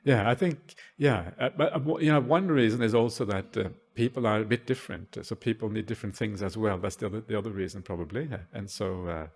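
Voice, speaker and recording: A man, a Buddhist monk, answering questions at a meditation retreat in a gentle, halting way with many "uh" fillers. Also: lowish voice. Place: retreat hall at a forest monastery.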